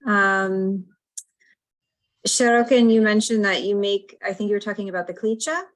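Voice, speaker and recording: A woman moderating questions in an online class, brisk and organized, over a video call. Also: mid-range voice, steady tone.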